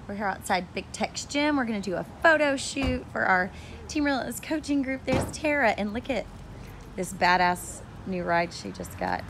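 A woman's voice: on the high side, very animated, moderate pace, heavy reverb. A woman in her thirties chatting casually to viewers in a vlog, recorded on a handheld phone. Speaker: fitness coach and vlogger. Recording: clearly noisy phone recording with ambience.

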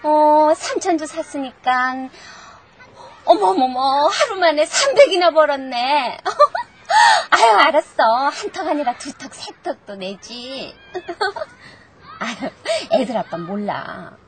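Casting: snobbish, excitable mother, middle-aged, high and swooping, unhurried, TV drama. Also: a little hiss or hum, full character voice. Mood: giddy, then gleeful, scheming. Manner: theatrical, exclamatory. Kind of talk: television children's drama acting.

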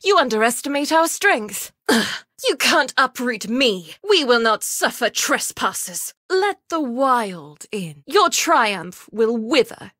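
A woman's voice: a little high, very animated, takes it slowly, bright, forceful tone, dry recording, clean studio sound, exaggerated voice. An actress voicing a proud, fierce elf woman who guards an ancient forest, speaking in a formal, imperious, defiant manner for a video game. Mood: haughty resolve. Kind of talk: video game character voice lines. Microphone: close studio mic.

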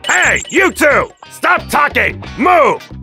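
An actor playing a bullying middle-aged mine foreman, barking in a gruff, domineering way. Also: high-pitched, very animated, unhurried, pushed and bright, soft background music, full character voice. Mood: angry barking. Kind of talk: anime dub acting.

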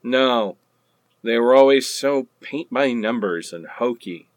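A man's voice: medium pitch, takes it slowly, no room sound. A man playing a flippant, wisecracking character in a comic radio play. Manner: flippant, animated. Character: wisecracking, flippant man, skeptical holiday time traveler.

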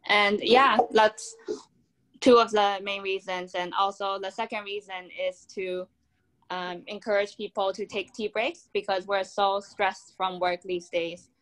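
A woman's voice: medium pitch, steady tone, unhurried, fairly bright tone, poor audio. A young woman from Taiwan, founder of a drink brand, explaining her product upbeat on a video call from a room at home. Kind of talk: video call panel answer.

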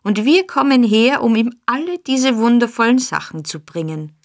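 A woman's voice: fairly high pitch, lively intonation, normal speed, pitched above the performer's own voice. A woman voicing a sly, wheedling old fence. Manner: wheedling, placating. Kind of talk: audiobook character voice.

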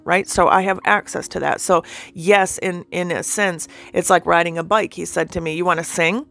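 A woman's voice: on the low side, creaky, fairly bright tone. A woman with a nasal, sinusy voice giving an informal spiritual teaching. She talks earnestly and keeps checking in with the listener.

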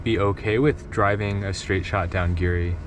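Man, lowish voice, casual passenger commentary, inside a moving car, noticeable road noise. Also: fairly even intonation, moderate pace. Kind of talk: casual ride-along commentary.

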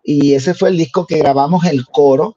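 An older man from Puerto Rico, a guest singer telling his story in an interview, earnest and unhurried. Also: fairly high pitch, warm tone, dry recording. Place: home room. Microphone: headset on video call. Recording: dull lo-fi video call audio.

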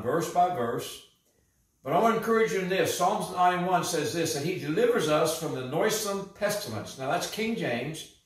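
An older pastor speaks from his living room in an earnest, upbeat, preacherly way. His voice sits a little high and swings between quick bursts and slow, stressed phrases. The camera mic is a few feet off, so the room echoes around him. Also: far from the mic. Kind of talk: encouraging video message to his church. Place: living room in front of a fireplace, indoors. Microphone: camera a few feet away, no visible mic.

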